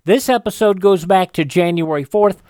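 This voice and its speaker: Man, radio host, high pitch, scripted announcements, presenting vintage shows. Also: normal speed, noisy background. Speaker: host of classic radio show.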